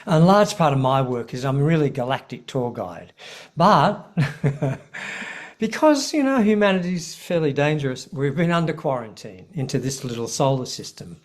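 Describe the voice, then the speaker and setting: Middle-aged man, spiritual teacher; measured, emphatic, mid-pitched. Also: lively intonation. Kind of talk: casual spiritual talk.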